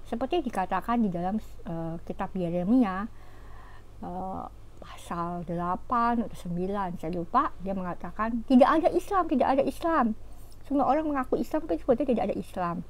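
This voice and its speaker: A woman giving an informal religious talk, explaining scripture in an animated way with fillers and rhetorical questions. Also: mid-range voice, moderate pace. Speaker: religious teacher.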